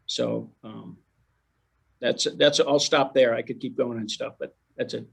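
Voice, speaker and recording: Gravelly, husky middle-aged executive man. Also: mid-range voice, fairly even intonation, unhurried. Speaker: company chief executive. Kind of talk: panel introduction.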